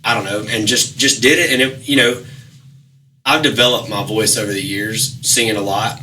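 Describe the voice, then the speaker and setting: A raspy-voiced young man talking casually as an interview guest, rambling with fillers and restarts. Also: fairly low pitch, fairly even intonation, normal speed, sharp tone, distant mic, slight background noise, low-quality recording. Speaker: musician guest.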